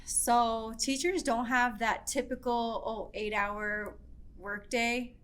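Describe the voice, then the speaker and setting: Soft-spoken low-voiced young woman teacher. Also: level tone, slow, smooth. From Mexican-American, from the United States. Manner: soft-spoken, repeats words, mixes in Spanish. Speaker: high school teacher, interview guest. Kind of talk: casual interview conversation. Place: school classroom.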